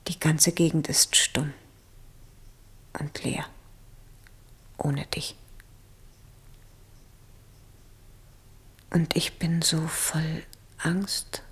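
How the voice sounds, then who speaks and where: A lovelorn woman pines for her absent beloved in a radio play. Her low voice is very breathy, often sinking into a whisper, and she speaks slowly. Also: little pitch movement, heavily acted. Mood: desolate, anxious. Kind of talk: literary radio play monologue.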